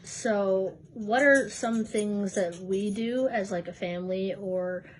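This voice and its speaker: A woman's voice: medium pitch, slow pace, rough recording. A young woman with an American accent asks questions in a casual family interview. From United States, Chicago area. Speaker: interviewer, daughter.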